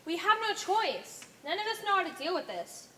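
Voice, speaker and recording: Woman as very high, echoey solemn cultist. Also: sing-song, moderate pace, sharp tone, heavily acted. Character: solemn blue cult member from a laboratory-made cell society. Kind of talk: amateur one-act stage play acting. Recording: echoey stage, noisy room ambience.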